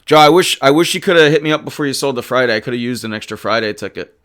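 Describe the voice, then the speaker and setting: A man hosts a live sports talk stream in a hoarse voice. He sits at a middling male pitch and banters casually with his chat. He turns animated and emphatic when he argues about players' stats. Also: very fast. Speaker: livestream sports show host.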